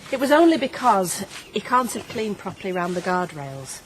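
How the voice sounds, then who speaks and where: An actress playing a brisk, exacting young pig farm worker, matter-of-fact and critical, in a naturalistic radio soap. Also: female voice, mid-range voice, big pitch swings, slight background noise, natural voice, low-quality recording. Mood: guarded, critical.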